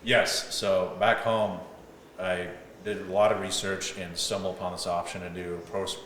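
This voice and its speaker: A man's voice: low-pitched, little pitch movement, a little slow, a bit bright. A young man from South Africa, a football player, telling his story as an interview guest in an easygoing way, with lots of "like" and "you know". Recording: echoey room with mic at a distance and noise.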